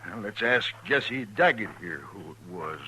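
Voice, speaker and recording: An American actor playing an angry, blunt, accusing stagecoach driver in a 1950s radio Western. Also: male voice, on the low side, animated, measured pace, pushed and bright, full character voice. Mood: bitter, accusing. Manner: blunt, insistent. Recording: noisy 1950s radio recording.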